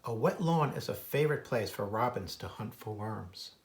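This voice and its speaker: A man's voice: on the low side, animated, normal speed, a little hiss or hum. An American man reading a children's book aloud as its author and naturalist, adding informal asides about wildlife. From United States. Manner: imitates bird calls in a singsong.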